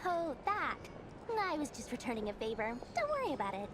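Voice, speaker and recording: A woman's voice: high-pitched, huge pitch swings, a little fast, noisy background. A woman voicing a proud, money-loving, secretly kind investor in an anime game, in a haughty, theatrical manner. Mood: casual, wistful. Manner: haughty, theatrical, indignant when exposed.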